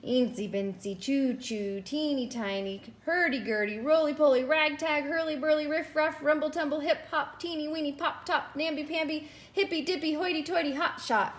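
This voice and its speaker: A jaunty, flustered male principal, as a woman reads him from a storybook, speaks in a high voice. He is bouncy and exclamatory as he rattles off silly rhymes. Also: fairly even intonation, normal speed, few pauses, close to an everyday voice.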